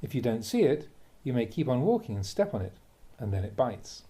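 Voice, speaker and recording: A man, a Buddhist monk, reads a translated spiritual talk aloud in a measured, emphatic way, posing rhetorical questions. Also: mid-range voice, very animated, warm tone. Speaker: Buddhist monk reading a teacher's talks aloud. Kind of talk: reading a translated Dharma talk aloud, with brief asides.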